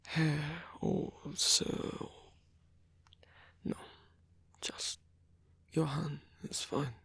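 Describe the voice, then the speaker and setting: Man playing a whispering, gravelly old vampire. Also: fairly high pitch, little pitch movement, no room sound, heavily acted. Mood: gentle, musing. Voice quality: breathy, husky, gravelly whisper. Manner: hesitant, slow, softly formal. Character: lonely old male vampire, gentle, courteous, wistful. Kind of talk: intimate ASMR roleplay acting.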